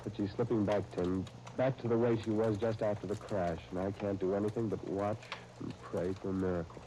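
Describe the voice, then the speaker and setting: An actor playing an earnest, wounded young husband with halting delivery in an old-time radio drama. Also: male voice, on the low side, steady tone, noisy background, lightly acted. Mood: brooding, resigned. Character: earnest, long-suffering young husband Chris, hurt but devoted.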